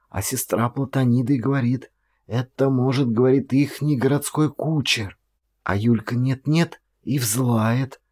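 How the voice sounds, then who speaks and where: A man voicing a frightened, gossipy nun in an audiobook, telling her tale in hushed, breathless, folksy chatter. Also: mid-range voice, slightly rough. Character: frightened gossipy nun whispering urgently at night.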